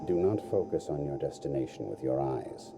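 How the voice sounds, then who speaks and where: Very deep, gravelly assassin instructor. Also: male voice, expressive, moderate pace, background noise, full character voice. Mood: instructive, stern. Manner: formal, clipped, commanding. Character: stern, measured male assassin instructor. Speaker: video game voice actor. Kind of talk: scripted game dialogue. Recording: game dialogue under music.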